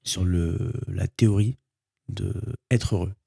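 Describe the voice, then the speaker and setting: A man's voice: low voice, steady tone, slow, vocal fry, mellow, breathy edge, no echo, studio quality. A young man from French Guiana, a podcast guest talking casually and hesitantly with many fillers. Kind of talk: casual interview chat.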